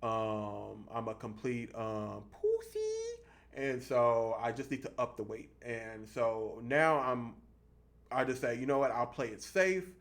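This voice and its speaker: A man in his thirties giving a casual fitness vlog monologue, explaining things haltingly and repeating points for emphasis. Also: mid-range voice, very animated, a bit bright. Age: 30s. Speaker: fitness vlogger.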